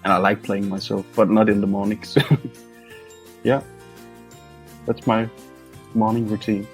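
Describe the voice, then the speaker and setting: A man from Denmark, a band member answering in short halting phrases and laughing easily. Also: fairly low pitch, little pitch movement, soft background music. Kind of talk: casual interview answers.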